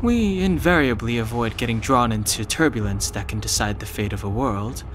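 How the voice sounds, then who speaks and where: A man's voice: mid-range voice, animated, moderate pace, slight background noise, close to an everyday voice. A man voicing an earnest, resolute young warrior in a video game. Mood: calm, grave. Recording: music behind the voice.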